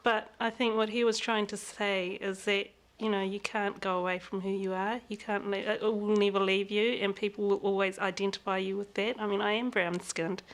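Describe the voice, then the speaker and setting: A woman with a New Zealand accent talks frankly as an interview guest. She sounds candid and reflective, and she laughs easily. Her voice sits at a middle pitch for a woman. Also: flat delivery, normal speed, few pauses. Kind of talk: frank personal conversation.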